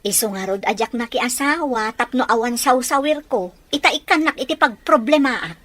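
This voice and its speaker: A woman's voice: fairly high pitch, expressive, normal speed, fairly bright tone, slight background noise. A woman acting a blunt, reproachful, nagging older female relative in an Ilocano radio drama, with an Ilocano accent.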